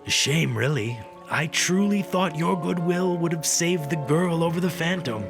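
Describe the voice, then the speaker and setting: A man's voice: a little high, measured pace, creaky, music in the background. A man playing a smug, gloating monster hunter villain in a radio drama, taunting and theatrical.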